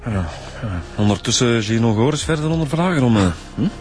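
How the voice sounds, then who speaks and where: An actor playing a level-headed police detective and second interrogator in a Flemish radio drama. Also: male voice, mid-range voice, lively intonation, brisk, a little mellow, soft background music, lightly acted. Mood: matter-of-fact. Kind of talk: naturalistic radio drama acting.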